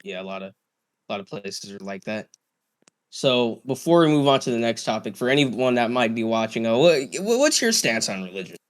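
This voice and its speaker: A man's voice: medium pitch, very animated, fairly quick. A young man hosting a casual podcast chat, swearing often and restarting his sentences. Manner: swears a lot, stammering restarts. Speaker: podcast host.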